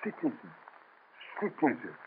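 An actor playing an elderly French count in hiding, talking in a fretful, hushed way in an old radio drama. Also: male voice, medium pitch, huge pitch swings, unhurried, slight background noise. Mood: anxious. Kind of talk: 1930s radio drama acting. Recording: muffled lo-fi old radio transfer.

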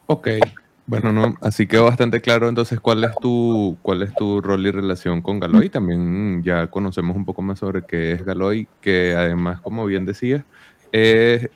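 A man hosts a relaxed interview with a fairly low voice. He talks at length and asks long, winding questions. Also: normal speed. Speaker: interviewer, host. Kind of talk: casual interview.

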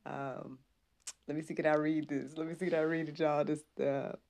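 A woman's voice: deep voice, flat delivery, normal speed, creaky, darkish tone. A woman hosting a casual faith-based livestream, chatting informally and devoutly with frequent praise and interjections. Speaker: podcast host.

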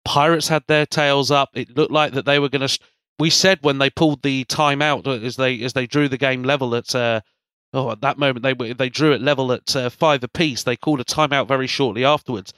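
A man's voice: medium pitch, steady tone, a little fast, fairly bright tone, clear, resonant tone, dry recording. A man with a congested, cold-affected voice hosts a sports podcast, recapping matches in a rambling, enthusiastic, conversational way.

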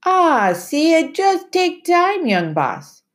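A woman voicing a big, gentle old male sailor, speaking slowly in broken dialect. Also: extremely high pitch, sing-song, pitched above the performer's own voice, full character voice. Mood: soothing. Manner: broken dialect, slow and soothing.

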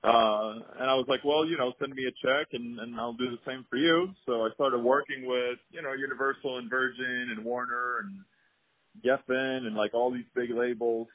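A man from Sweden, telling his story in a relaxed, easygoing way as an interview guest. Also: mid-range voice, normal speed, dry recording. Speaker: guest, former music manager. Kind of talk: casual interview over phone. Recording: husky voice on a tinny, dry phone line.

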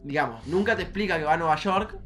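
A man's voice: a little high, animated, fast, fairly bright tone, faint music behind. A young man with an Argentine accent chatting casually as a podcast host.

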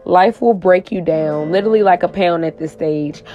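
A woman life coach with a raspy voice, giving a spiritual coaching talk and tagging her lines with "okay?". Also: lowish voice, moderate pace, highs cut off. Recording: guitar music playing under the voice.

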